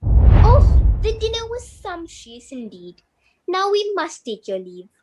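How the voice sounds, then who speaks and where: A woman's voice: extremely high pitch, sing-song, takes it slowly, mellow, muffled recording, heavily acted. A woman acting a bold, blunt mother and defiant houseguest in an amateur radio play, with a theatrical, emphatic delivery. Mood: polite, uneasy.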